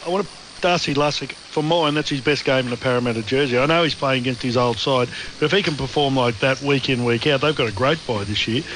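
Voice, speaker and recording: A man's voice: mid-range voice, fast, background noise. An Australian man on a post-match radio panel, blokey and teasing his co-hosts. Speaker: radio rugby league commentator.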